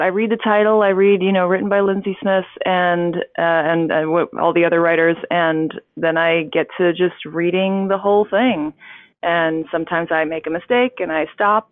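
This woman, whose first language is Russian, chats about her voice work in a loose, relaxed way, full of fillers and restarts. Her voice comes across dry and tinny, as if over a phone line. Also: on the low side, no echo. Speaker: voice actor and audiobook narrator. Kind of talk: casual interview chat.

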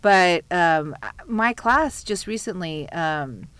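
A woman's voice: lowish voice, slow pace, smooth, no echo. A middle-aged woman who grew up speaking Iraqi Arabic, chatting enthusiastically as a guest about teaching. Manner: enthusiastic, animated when quoting students. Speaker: guest, Arabic program director. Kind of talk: casual interview chat.

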